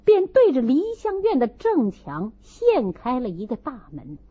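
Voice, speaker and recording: Expressive, slow-paced woman narrator, husky edge. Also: high-pitched, lo-fi sound. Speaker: audiobook narrator. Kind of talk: dramatic scripted narration of a classic novel.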